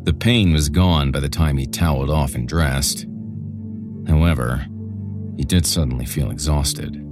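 A man's voice: rumbling low, a little slow. A man reading a scripted horror story as a podcast narrator, with music behind him.

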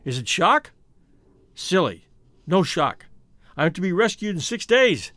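Narrator as frightened man, agitated, emphatic, high-pitched, swinging intonation, science fiction story. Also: sing-song, measured pace, fairly bright tone, no echo, heavily acted. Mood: anxious reasoning. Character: frightened, tormented man fighting for his sanity.

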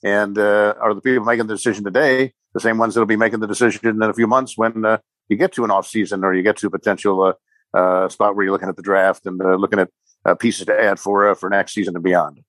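A man giving casual sports podcast analysis as a guest commentator, halting and hedging with many ums and repeated words. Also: on the low side, little pitch movement, a bit bright, no echo. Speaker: sports commentator guest, former radio play-by-play announcer.

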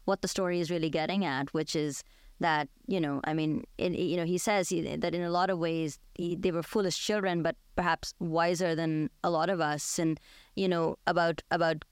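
Clear-voiced woman hosting a discussion. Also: on the low side, steady tone, moderate pace, no room sound, clean studio sound. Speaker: podcast host. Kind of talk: conversational interview discussion.

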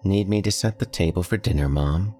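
A man's voice: low voice, a little fast, a little mellow, breathy edge, soft background music, pitched above the performer's own voice. A man voicing a young college-age daughter who answers patiently and a bit pointedly. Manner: patient, lightly sardonic.

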